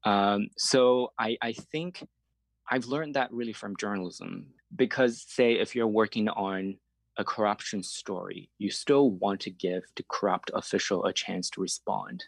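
A man's voice: lowish voice, fairly even intonation, unhurried, creaky, no echo. A man from China, a native Chinese speaker, giving earnest, reflective interview answers as a journalist and explaining his points conversationally.

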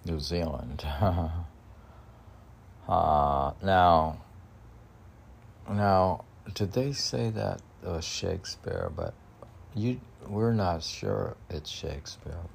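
A man rambling through a podcast of chat and poetry reading, halting and often talking to himself in questions and answers. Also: low-pitched, big pitch swings, gravelly, husky. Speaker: poet reading and chatting on own podcast.

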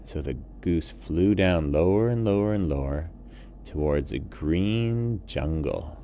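A man with a fairly low voice reads as a children's storyteller. He goes very slowly, in simple phrases with repeated words, as for a bedtime tale. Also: expressive, mellow, slight background noise. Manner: simple, repetitive, asks listeners questions.

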